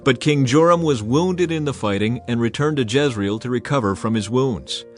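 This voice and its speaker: A man reading a dramatized Bible passage as narrator, lifting his voice to act out the quoted speakers. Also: moderate pace. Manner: acts out quoted speakers by raising pitch and volume. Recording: soft music bed behind the voice.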